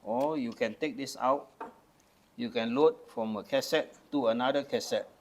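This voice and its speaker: Gravelly older Malaysian-raised man. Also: mid-range voice, normal speed, a little hiss or hum. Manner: patient, explains step by step with hands-on demos. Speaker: camera collector. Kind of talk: casual show-and-tell demonstration.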